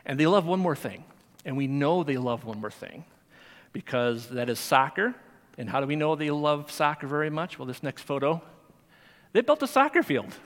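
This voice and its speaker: A man's voice: fairly high pitch, fairly bright tone. A man teaching Sunday school, giving a sermon in a conversational way with fillers and restarts. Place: church sanctuary. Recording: long hall reverb.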